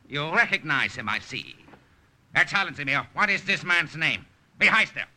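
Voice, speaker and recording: An actor playing a cold army captain in 1940s radio style, with a curt, sardonic, commanding manner. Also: male voice, fairly high pitch, expressive, a little slow, pushed and bright, heavily acted. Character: cold, menacing German army captain interrogating a prisoner.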